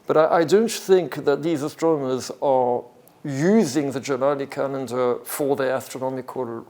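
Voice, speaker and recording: A man giving an academic talk off the cuff, hesitant and halting as he thinks aloud. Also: medium pitch, a little mellow. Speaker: academic lecturer. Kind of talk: academic talk with extempore explanation.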